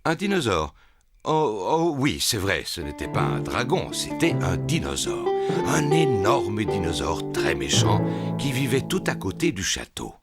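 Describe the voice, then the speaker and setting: A man's voice: on the low side, big pitch swings, unhurried, music in the background. A man voicing a good-natured, boastful daddy pig in a French children's cartoon, blustering and a little hesitant. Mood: jovial storytelling. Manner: blustering, hesitant when caught out.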